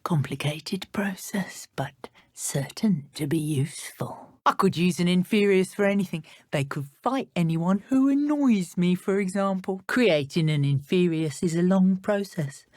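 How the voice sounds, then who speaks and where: An actress playing a menacing, taunting dark witch in a video game, with a British accent and a contemptuous manner. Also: fairly low pitch, a little slow, hardly any pauses, no room sound, studio quality, lightly acted. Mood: smug, sinister. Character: menacing, sneering dark witch poacher, adult woman. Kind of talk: video game character voice lines.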